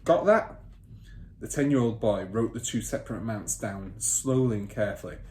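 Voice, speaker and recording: A man reading a children's storybook aloud as a teacher would, with expressive narration. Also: fairly low pitch, a little fast. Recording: roomy echo, faint background noise.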